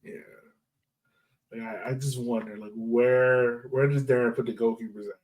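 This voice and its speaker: A man's voice: mid-range voice, fairly even intonation, normal speed, darkish tone, distant mic, poor audio. A man co-hosting a sports podcast, casually breaking down a soccer match and repeating himself as he thinks aloud.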